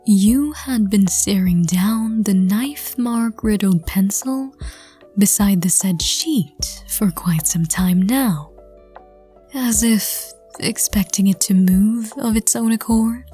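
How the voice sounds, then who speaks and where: Soft, breathy, slow-paced woman narrator. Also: medium pitch, faint music behind. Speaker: narrator and audio roleplay reader. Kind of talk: scripted second-person story narration.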